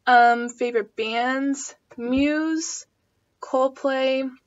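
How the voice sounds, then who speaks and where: A woman's voice: high voice, steady tone, very slow. Chatty young woman, dull lo-fi audio. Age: 20s. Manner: chatty and rambling, full of fillers. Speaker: vlogger. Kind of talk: casual chat answering tag questions. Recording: dull lo-fi home video audio.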